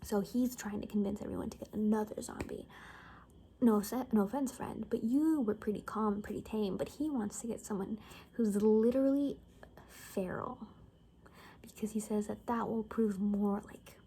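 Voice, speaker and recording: Casting: a woman to play a chatty apocalypse confidante for ASMR roleplay. Mellow, soft, breathy voice sliding into whispers, loose rambling delivery, slight ambient noise. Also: mid-range voice, normal speed, lightly acted. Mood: conspiratorial, gossipy. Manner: rambling, casual, gossipy, full of filler words. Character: chatty young woman in zombie apocalypse compound, gossipy friend.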